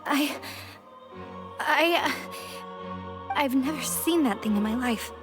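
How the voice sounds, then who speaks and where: Frightened, earnest young woman, highish voice. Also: slow, over music. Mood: fearful, withdrawn. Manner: hesitant, formal, pleading. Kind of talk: video game voice acting.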